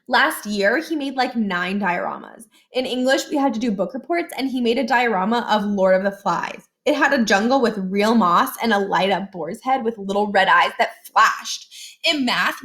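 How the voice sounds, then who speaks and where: A woman voicing a wry, quick schoolgirl with a casual, deadpan manner for a children's story. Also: mid-range voice, natural voice.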